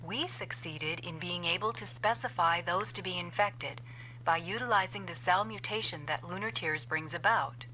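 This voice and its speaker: An actress playing a vengeful female scientist in an anime-style game dub, explaining coldly and deliberately. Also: fairly low pitch, noisy background, lightly acted. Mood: grim, confessing. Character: vengeful female scientist confessing a revenge plot.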